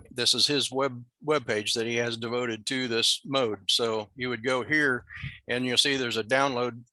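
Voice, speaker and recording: A man's voice: mid-range voice, pushed and bright, no room sound, rough recording. An older American man from Nebraska giving an informal presentation to a club in an easygoing, self-deprecating way. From Nebraska, USA. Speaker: guest presenter at an amateur radio club. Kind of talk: informal presentation over video call.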